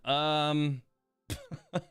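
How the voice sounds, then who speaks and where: A man's voice: fairly high pitch, flat delivery, drawn-out words, a bit bright, clear, resonant tone, no room sound, clean studio sound, faint music behind. A man hosting a game livestream, chatting casually and grumbling in exasperation as he plays. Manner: exasperated grumbling with mild swearing and sighs. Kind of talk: casual livestream chat and reactions while playing.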